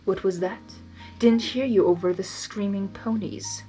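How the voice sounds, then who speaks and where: A woman's voice: medium pitch, fairly even intonation, measured pace, warm tone, faint music behind, natural voice. A woman voicing a sly, taunting male chaos creature with a smirking, sing-song delivery in a storybook reading. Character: sly, mocking male Draconequus, lord of chaos.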